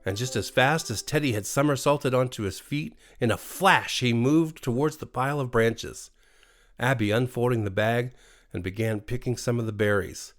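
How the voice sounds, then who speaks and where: American guy telling a spooky bedtime story, expressive, mid pitch, bit of music under it. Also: normal speed. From USA. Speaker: storyteller, narrator. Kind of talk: scripted bedtime horror story narration. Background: faint music.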